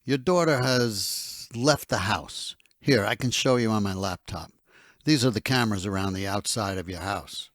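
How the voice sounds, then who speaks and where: A man's voice: fairly low pitch, moderate pace, fairly bright tone, dry recording, studio quality, natural voice. An actor playing a dutiful henchman in an audio drama, reporting in a matter-of-fact, slightly hesitant and deferential way. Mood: businesslike. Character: dutiful male henchman reporting to his boss.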